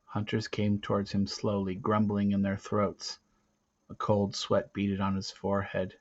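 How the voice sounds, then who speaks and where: A man reading a story aloud as narrator, with a dramatic, expressive delivery. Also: fairly low pitch, unhurried. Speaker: reader of a children's novel. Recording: dull, lo-fi recording.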